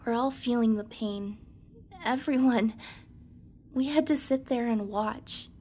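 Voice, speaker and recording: A woman's voice: fairly high pitch, takes it slowly, dark tone. A woman playing a frank, friendly young space cadet in a sci-fi anime-style drama. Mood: concerned. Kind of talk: sci-fi anime parody audio drama acting.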